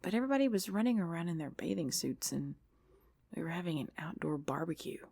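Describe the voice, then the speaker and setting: A woman playing an affectionate, sleepy girlfriend in an ASMR roleplay, whispering and murmuring softly with a breathy voice. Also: fairly low pitch, quick pace. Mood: sleepy, chatty.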